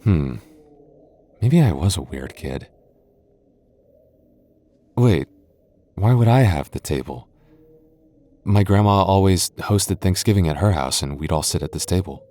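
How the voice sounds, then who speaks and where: A man voicing an affectionate, teasing young goth boyfriend in an ASMR roleplay, talking softly and intimately. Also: low voice, animated, a little slow, long pauses. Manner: soft, intimate, playfully teasing. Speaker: voice actor.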